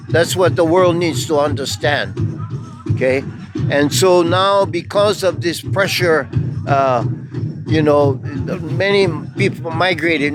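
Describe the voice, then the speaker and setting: An older man from Hawaii gives an earnest, halting impromptu speech as an activist, rambling with pauses. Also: fairly high pitch, poor audio.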